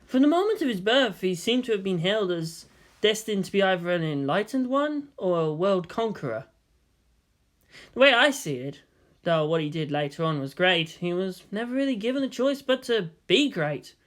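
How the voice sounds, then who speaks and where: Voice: mid-range voice, big pitch swings, normal speed, lightly acted. A narrator voicing an exasperated, sarcastic teenage boy hero in a fantasy story. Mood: reflective.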